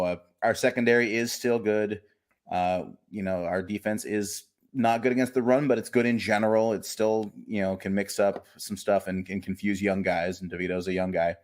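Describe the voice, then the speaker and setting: Low-voiced man in wry sports banter. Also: darkish tone. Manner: wry, gloomy, self-mocking banter. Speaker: podcast co-host. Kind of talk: casual sports podcast chat.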